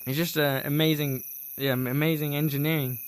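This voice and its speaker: A man's voice: on the high side, level tone, brisk, slight background noise. A man recounting his journey in casual, earnest first-person storytelling, repeating words for emphasis.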